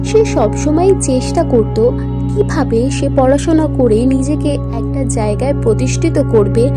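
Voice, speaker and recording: A woman narrating a scripted cartoon story as its storyteller. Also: high-pitched.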